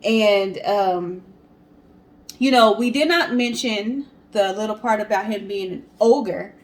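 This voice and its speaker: A woman co-hosting a show, telling stories and chatting casually to the audience in an animated way. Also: mid-range voice, slow. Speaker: co-host of a storytelling guessing show. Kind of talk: casual storytelling and chat to viewers.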